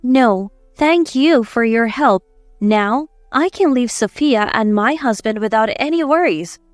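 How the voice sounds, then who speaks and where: A woman voicing a wronged housewife in a story, composed and firm, quietly set on revenge. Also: fairly high pitch, expressive, a little slow, no room sound, studio quality, soft background music. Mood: calm, firm. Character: wronged housewife, calm, determined, quietly vengeful.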